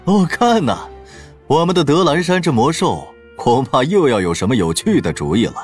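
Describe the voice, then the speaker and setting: A man voicing a jovial, smooth count in an audiobook, teasing and theatrical. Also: mid-range voice, sing-song, normal speed, faint music behind, voice pushed up, lightly acted. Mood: amused, mocking. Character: jovial, smooth male count hosting a decadent party. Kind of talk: audiobook character dialogue.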